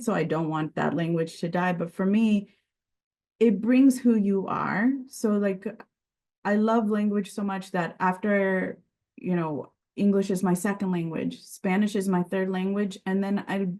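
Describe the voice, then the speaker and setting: A woman whose first language is Sicilian talks in a conversational interview, at a middle pitch. She sounds earnest and reflective and often restarts her phrases. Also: warm tone. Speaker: podcast guest, regulatory and quality director.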